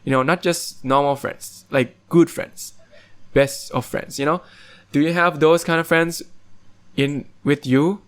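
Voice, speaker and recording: Man, young adult, from Thailand, podcast host, casual and rambling, high-ish voice. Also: a little slow. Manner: animated, rambling with many fillers and restarts. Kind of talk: casual chat.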